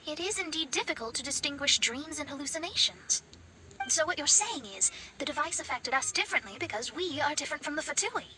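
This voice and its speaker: A woman's voice: high voice, expressive, noisy background. A woman playing a poised young noblewoman in a video game, theatrical, analytical and formally spoken. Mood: thoughtful. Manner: eloquent, formal, measured.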